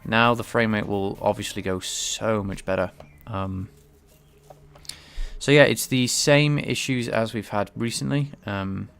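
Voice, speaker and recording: A man with a low, gravelly voice talks through his code in a casual, halting way, often restarting his sentences. He sounds slightly stuffed-up and nasal, as if he has a light cold. Soft music plays faintly behind him. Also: fairly even intonation. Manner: thinks aloud, hesitant, many restarts. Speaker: game developer streaming his work. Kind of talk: casual live-coding commentary.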